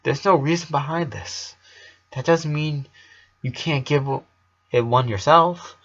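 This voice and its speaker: A man voicing an earnest young man who speaks haltingly and consoles a boy in a story reading. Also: a little high, a bit bright, highs cut off, pitched above the performer's own voice. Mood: sad, unsure.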